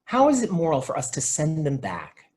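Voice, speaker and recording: Man acting a lively, excitable young store clerk. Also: fairly high pitch, moderate pace. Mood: earnest pleading. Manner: dramatic, earnest. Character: excitable, idealistic, naive young male video store clerk. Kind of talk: comedy script table read.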